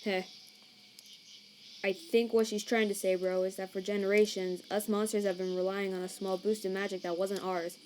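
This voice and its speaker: A woman's voice: mid-range voice, flat delivery, moderate pace, a little mellow, slight background noise, close to an everyday voice. A woman voicing a laid-back male skeleton monster in a fan comic dub, casual and wry as he shrugs things off. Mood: explanatory. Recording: chirping crickets and night ambience behind.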